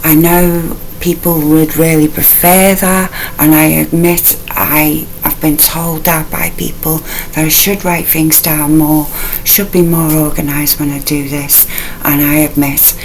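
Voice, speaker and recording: A woman with a very low, gravelly voice rambles through a true crime case at an unhurried pace. She mumbles softly and loosely, in an unscripted way, recorded without a proper microphone. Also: little pitch movement, a little hiss or hum, low-quality recording. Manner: soft-spoken, mumbling, loose and unpolished. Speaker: true crime channel host.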